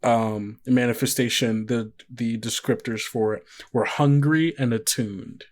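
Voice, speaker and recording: A man's voice: medium pitch, steady tone, measured pace. A man casually improvising as game master of a podcast tabletop game, thinking aloud and often putting questions to his player.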